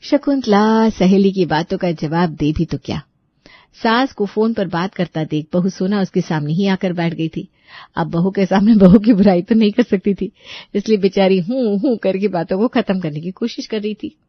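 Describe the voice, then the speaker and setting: A woman's voice: medium pitch, normal speed, dry recording. Woman narrating a story, muffled recording.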